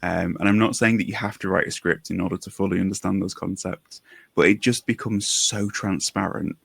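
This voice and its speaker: A man with a low, gravelly voice co-hosts a conversation about his craft. The sound is dry and close, and he talks in long, engaged explanations that turn into questions. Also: fairly even intonation, brisk, no room sound. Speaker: podcast co-host, writer. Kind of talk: conversational interviewing.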